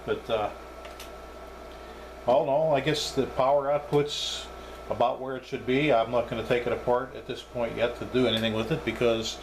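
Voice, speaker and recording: Casual man reviewing gear over clear background noise. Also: mid-range voice, fairly even intonation, normal speed. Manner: hesitant, thinking aloud. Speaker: reviewer demonstrating radio equipment. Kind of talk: casual off-the-cuff hands-on review.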